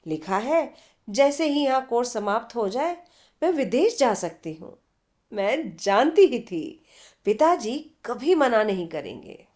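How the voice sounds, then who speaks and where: A woman voicing a lively, proud young female art student in a read story, playfully bossy, insistent and teasing. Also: fairly high pitch, takes it slowly. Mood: pleased.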